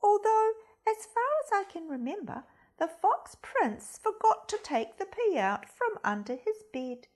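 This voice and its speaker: A woman storyteller reading a children's storybook expressively, with theatrical, deliberate phrasing. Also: extremely high pitch, sing-song, darkish tone. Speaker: storyteller, primary school reader.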